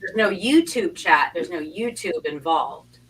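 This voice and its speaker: A woman's voice: on the low side, lively intonation, measured pace, crowd noise. A woman chatting casually as a guest on an online livestream panel, heard from a slightly distant mic. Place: online group video call. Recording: distant mic with faint background noise.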